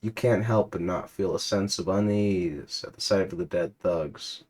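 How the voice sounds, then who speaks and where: A man giving a comic dramatic reading of a story, in a deadpan manner, sometimes stumbling and rereading lines. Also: deep voice, unhurried, breathy edge. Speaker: reader.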